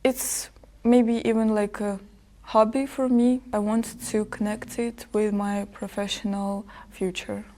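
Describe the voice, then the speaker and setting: A young woman, a student, talking thoughtfully on a podcast with slow, hesitant phrasing. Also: medium pitch, little pitch movement, mellow. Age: young adult. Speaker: podcast guest. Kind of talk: casual conversation. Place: podcast studio.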